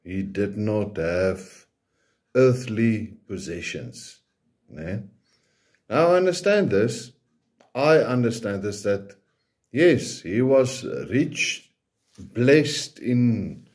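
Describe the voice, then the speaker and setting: A man's voice: on the low side, animated, extremely slow, pauses often, a little mellow. A man from South Africa whose first language is Afrikaans gives an informal, hesitant preaching talk in English with an Afrikaans accent, often ending phrases with a questioning tag.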